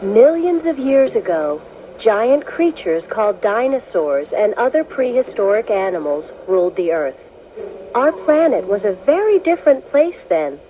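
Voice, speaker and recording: A woman narrating a children's educational book, reading in a clear, measured, explanatory way as if to young listeners. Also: mid-range voice, sing-song, warm tone, a little hiss or hum, rough recording. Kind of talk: scripted storybook narration.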